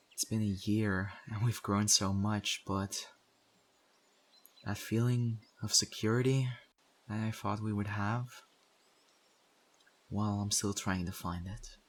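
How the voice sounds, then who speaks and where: A man's voice: on the low side, a little hiss or hum. A man acting as a weary survivor in a zombie-apocalypse audio roleplay, talking conversationally and straight to the listener as a community right-hand man. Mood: weary, uneasy. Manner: conversational, urging, mildly exasperated.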